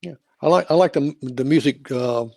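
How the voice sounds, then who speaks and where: An older male host asks questions in a halting, easygoing way, full of little restarts. His mid-range voice sounds close and dry, with no room around it. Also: mid-range voice, vocal fry, darkish tone, no echo. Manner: halting, many fillers and restarts. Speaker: talk show host. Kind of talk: casual interview chat.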